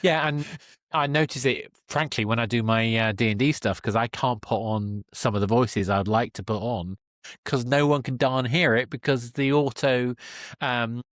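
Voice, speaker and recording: A man's voice: medium pitch, fairly even intonation, normal speed, a bit bright, dry recording, studio quality. A man hosting a conversational interview podcast, talking in a reflective, discursive way into a dynamic microphone. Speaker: podcast host and stage presenter. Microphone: dynamic microphone at a desk.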